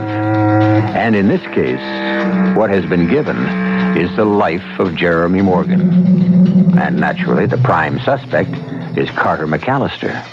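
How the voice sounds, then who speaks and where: A man hosting a radio drama with theatrical, portentous scripted narration. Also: low voice. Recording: dull 1970s radio, loud music behind.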